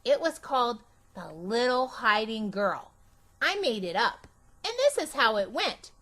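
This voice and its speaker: A woman voicing a chatty, imaginative, bossy little girl in an animated children's storybook read-aloud, with childish, emphatic phrasing. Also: on the high side, unhurried. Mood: chatty, earnest.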